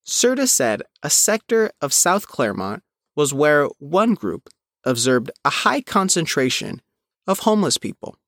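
Man reading news, lively varied pitch. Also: no room sound. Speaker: reporter reading own written article. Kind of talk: scripted news narration.

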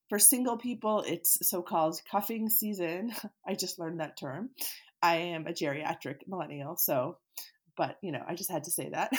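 A woman around forty hosting her own podcast, talking casually and teaching, with a scratchy voice from a cold. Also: medium pitch. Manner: chatty, animated, laughs easily, occasional fillers. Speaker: podcast host and therapist. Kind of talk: solo podcast talk, teaching.

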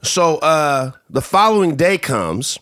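A man banters on a podcast in a relaxed, slangy way, his pitch moving a lot as he talks. The sound is dry and close, with no room echo. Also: takes it slowly. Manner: laid-back slang-heavy banter. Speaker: podcast co-host. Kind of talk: casual chat.

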